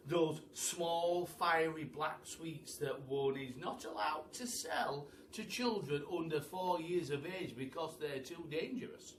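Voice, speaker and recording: A man giving an animated, theatrical storybook reading for children. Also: on the high side. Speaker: primary school storyteller. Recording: roomy echo, distant mic, faint noise.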